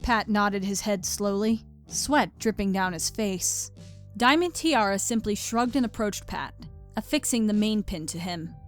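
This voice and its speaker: Woman narrator reading a story, middle pitched voice, faint music behind her. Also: unhurried. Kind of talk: scripted storybook narration of fan fiction.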